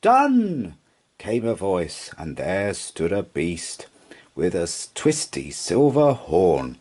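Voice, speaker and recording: A man reading a children's story aloud as rhythmic, singsong verse with expressive narration. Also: deep voice, slow. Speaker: storybook reader.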